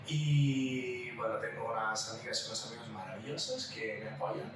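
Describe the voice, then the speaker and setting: A man's voice: medium pitch, fairly even intonation, normal speed. A man with an Italian accent chatting casually and jokily as a talkative podcast co-host. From Calabria, southern Italy. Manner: talkative, jokey. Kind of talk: casual chat. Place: living room at home. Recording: echoey room, distant mic, clear noise.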